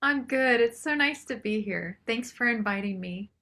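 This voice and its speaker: A woman's voice: a little high, normal speed, bright, forceful tone. A woman chatting casually as a singer-songwriter guest in an interview.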